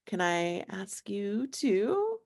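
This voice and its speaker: A woman hosts an online showcase over a video call and introduces each speaker. Her manner is casual, with fillers and a quick laugh at her own slips. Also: medium pitch, big pitch swings, gravelly, a little mellow. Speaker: event host.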